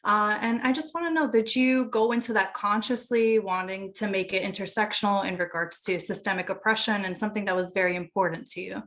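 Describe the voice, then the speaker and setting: A woman's voice: medium pitch, steady tone, fairly quick, hardly any pauses, a bit bright. A woman interviewer asking prepared questions politely and addressing her guests in full sentences.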